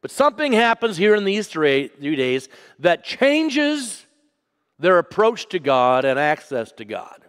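A man preaching a sermon as pastor, telling a personal story and moving from loud to hushed. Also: high voice, huge pitch swings, a little slow, clean tone, in a large hall. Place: church sanctuary.

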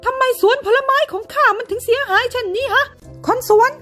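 Woman putting on a shrill spoiled young master. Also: brisk, a bit bright, faint music behind. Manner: haughty, exclaiming, high-pitched. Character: young man, spoiled garden owner's son.

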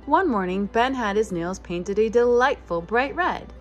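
A woman's voice: fairly high pitch, lively intonation, a little slow, faint music behind. A woman in her thirties reading a picture book aloud as a preschool teacher, expressively addressing young children. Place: living room with couch, indoors.

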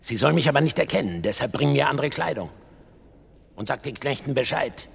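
A man's voice: mid-range voice, animated, brisk, vocal fry, husky, big-room sound, full character voice. A man playing a troubled, commanding king in a dramatic radio play, imperious and urgent.

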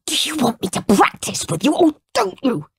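A woman's voice: high-pitched, sing-song, normal speed, creaky, breathy edge, no echo, pitched above the performer's own voice, heavily acted. A woman voicing a bossy, scornful, mischievous young boy in an emphatic, taunting way for a children's storybook. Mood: irritated.

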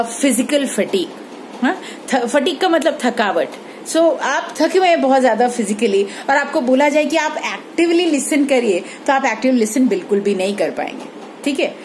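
A woman's voice: high voice, sing-song, a little slow, darkish tone, a little hiss or hum. Woman lecturing into a phone. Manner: explanatory, mixes Hindi with English phrases, frequent "ठीक है?" checks. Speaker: teacher. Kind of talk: recorded lecture. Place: indoor room at home. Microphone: phone held at arm's length.

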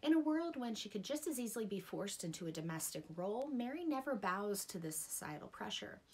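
A woman's voice: mid-range voice, sing-song, brisk, noisy background. A middle-aged woman, hosting and reading scripted narration. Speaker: video essay host.

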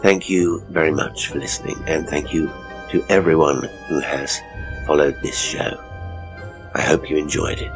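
A man's voice: extremely low pitch, normal speed, music in the background. A man hosting a horror podcast, reading a scripted sign-off to listeners. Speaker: host and narrator of a horror podcast.

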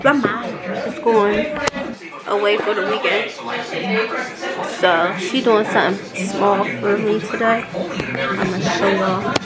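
A woman's voice: medium pitch, steady tone, takes it slowly, long pauses, over a noisy crowd. A young adult woman chatting casually to camera in slangy, playful speech, recorded indoors on a handheld camera. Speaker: vlogger. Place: indoors, nail salon and home rooms. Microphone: handheld camera at arm's length.

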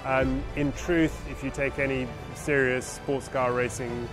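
Guy, car auction expert, normal pitch, rambly explaining, music playing clearly behind him. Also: little pitch movement, unhurried. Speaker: auction house car specialist. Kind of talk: interview explanation. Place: large tent with cars on display.

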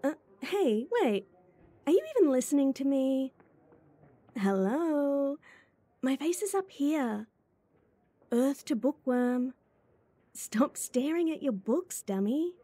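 Woman acting as a slow-talking, teasing young best friend. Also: high voice, lively intonation, dark tone, no room sound. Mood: pestering, pleading. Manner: chatty, teasing, giggly. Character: playful, teasing, clingy childhood friend, young woman student. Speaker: voice actor. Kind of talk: ASMR roleplay acting.

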